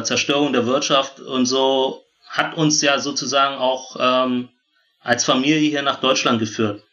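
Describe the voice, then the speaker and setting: A man in his thirties from Vietnam who grew up in Germany tells personal stories as an interview guest, often halting to search for words. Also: medium pitch, bright, forceful tone, dull audio. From born in Vietnam, grew up in Germany. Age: 30s. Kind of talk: personal storytelling in a conversational interview.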